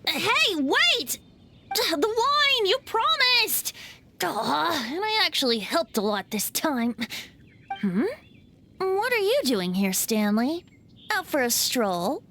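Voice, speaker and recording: A woman's voice: very high voice, big pitch swings, slow pace, background noise, full character voice. A woman playing a boyish, playful young male bard in a video game, theatrical and sly. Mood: light, teasing. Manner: theatrical, poetic, teasing. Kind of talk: English video game voice acting.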